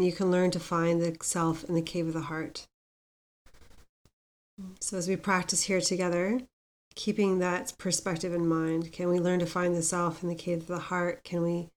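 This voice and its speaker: A woman guiding a meditation as host, speaking gently and without hurry, leaving pauses between instructions. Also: fairly low pitch. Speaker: meditation guide, podcast host. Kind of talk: guided meditation with mantra chanting.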